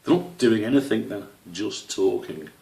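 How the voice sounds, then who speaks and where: Guy doing a young badger in a kids story, matter of fact, bit dismissive, croaky, echoey room. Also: on the low side, animated, moderate pace, mellow, far from the mic. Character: matter-of-fact young male badger who digs about.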